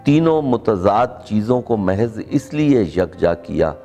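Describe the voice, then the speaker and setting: A middle-aged man with a Pakistani accent speaks in a deep register at a slow, deliberate pace. He presents formally, with the measured phrasing of an orator, over faint music. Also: sing-song, warm tone. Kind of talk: introduction and formal recitation of a written speech.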